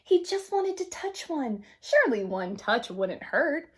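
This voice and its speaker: A woman reads a children's storybook aloud. Her voice sits a little high, and she takes her time, gentle and expressive, slipping between narration and casual comments for her young listeners. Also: measured pace. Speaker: storybook reader. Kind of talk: picture book read-aloud with casual asides to young listeners.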